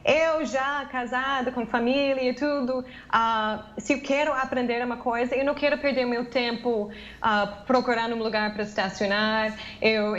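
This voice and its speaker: An American woman with a fairly high voice is interviewed over a video call from her home office. Her sound is dull and lo-fi. She speaks chattily, filling pauses with "ah" and restarting words. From United States. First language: English. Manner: chatty, many "ah" fillers and restarts. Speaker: interviewee, English teacher. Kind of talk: remote news interview.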